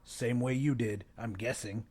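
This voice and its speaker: A man voicing a gruff, laid-back, sardonic male smoker in an audiobook, with blunt casual talk. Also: steady tone, unhurried, darkish tone, slight background noise, close to an everyday voice. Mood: dismissive. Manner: low-key, blunt, casual.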